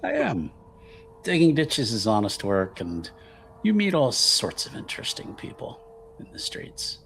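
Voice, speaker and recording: A man's voice: medium pitch, wildly swooping pitch, measured pace, vocal fry, fairly bright tone, a little hiss or hum, pitched above the performer's own voice, exaggerated voice. A man playing a courteous, charming working man in a fantasy tabletop game, a little hesitant in his phrasing. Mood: genial. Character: genial, polite working man who digs ditches.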